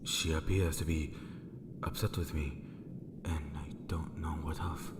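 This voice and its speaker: Guy acting as a very low voiced noble lord, formal but awkward and halting, bit of noise behind. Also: little pitch movement. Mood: uneasy, guarded. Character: reserved, courteous male lord, awkward and hesitant in love. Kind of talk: fan audio drama acting.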